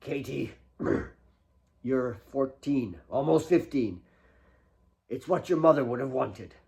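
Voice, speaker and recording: A man voicing a big, ailing older father who speaks haltingly and teases gently in an audiobook. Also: medium pitch, expressive. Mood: gentle, reluctant. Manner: throat-clearing, halting.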